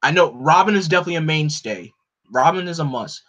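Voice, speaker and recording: A man hosting a casual live stream, talking in an opinionated, animated way that jumps up in pitch when he gets excited. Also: fast talker. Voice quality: no regional accent. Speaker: streamer host. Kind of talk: casual chat to a live audience. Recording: dull lo-fi home stream audio.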